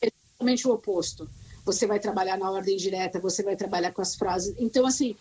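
Husky-voiced woman, animated. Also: medium pitch, quick pace, rough recording. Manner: animated, self-mocking storyteller with many restarts. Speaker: teacher. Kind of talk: casual conversation.

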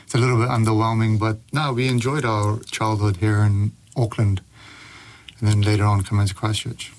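A young man with a fairly low voice, chatting easily as a guest. He talks in a relaxed, loose way, full of fillers and restarts. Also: normal speed. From Pakistan. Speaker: guest author. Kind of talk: casual interview talk.

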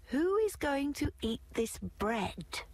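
A woman's voice: high-pitched, big pitch swings, slow, a little mellow, voice pushed up, full character voice. A woman voicing a hardworking, proud little red hen who speaks in a prim, firm way. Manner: prim, self-reliant.

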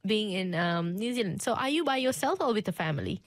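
A young woman in her twenties hosting a casual interview, animated and quick to exclaim. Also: medium pitch, no echo. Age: 20s.

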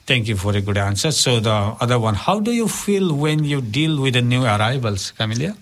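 A man co-hosting a community radio interview in a studio, reading questions formally in slightly halting English. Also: medium pitch, expressive. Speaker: radio show co-host.

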